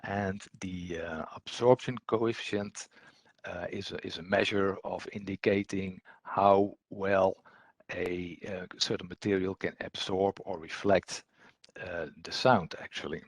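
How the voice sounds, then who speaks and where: A man giving an explanatory technical presentation as a trainer, unscripted, in a halting, hesitant way with repeated words. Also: sits low, steady tone, slightly rough, no room sound.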